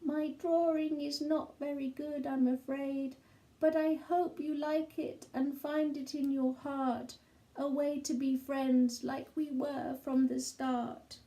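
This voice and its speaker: A small storybook boy, played by a woman reader, speaks in a high, mellow voice. He is earnest and apologetic, pleading to be forgiven as he owns up in an unhurried way. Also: little pitch movement, rough recording. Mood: shy, hopeful. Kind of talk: children's storybook character voice.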